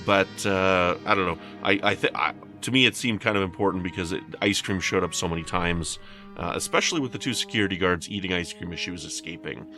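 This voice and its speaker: A man with a low voice gives a casual, spoken review as a listener. He talks hesitantly and rambles, with music clearly present in the recording. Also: fairly quick, bright, forceful tone. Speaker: listener leaving feedback for a podcast.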